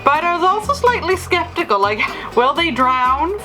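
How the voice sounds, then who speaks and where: A woman, a friend of the host, tells a story in a lively, amused way. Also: very high voice, normal speed, faint music behind, poor audio. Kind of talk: casual recorded message.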